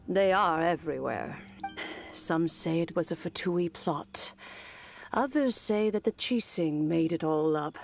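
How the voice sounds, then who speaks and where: A wistful elderly wise woman in a game, low and creaky. She speaks slowly and reflectively, like a storyteller, over soft music. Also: heavily acted. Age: older. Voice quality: creaky, aged. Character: wistful, wise old woman of a harbor town. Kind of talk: video game voice acting.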